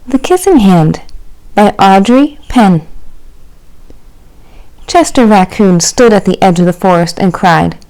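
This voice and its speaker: A woman's voice: mid-range voice, animated, slow, long pauses, darkish tone, poor audio. A woman in her thirties, a children's librarian, reading and presenting a storytime for young children.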